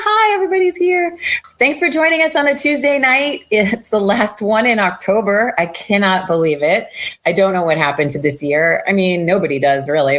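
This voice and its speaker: A woman hosting a podcast, chatty and bubbly, laughing often as she welcomes her guests. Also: mid-range voice. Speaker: podcast host. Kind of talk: casual video-call podcast chat.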